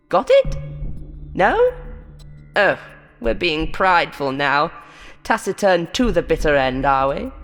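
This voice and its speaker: A woman's voice: lowish voice, long reverb tail, voice pushed up. Woman voicing a mocking, sinister game show host monster. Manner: taunting, condescending, theatrical showman patter. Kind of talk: horror audio story character voice.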